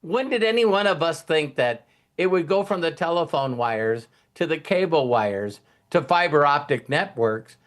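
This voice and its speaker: A man with a somewhat high-pitched voice talks casually as a motivational host. He rambles along in a folksy way, leaning on anecdotes and plain language. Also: lively intonation, moderate pace, fairly bright tone. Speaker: host of a motivational team talk.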